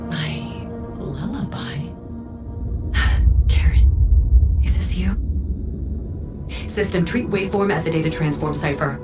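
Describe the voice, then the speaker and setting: A woman's voice: sits low, slow pace, pauses often, distant mic, over loud music. A woman playing a driven young starship scientist in a game, intense, urgent and focused. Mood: stunned.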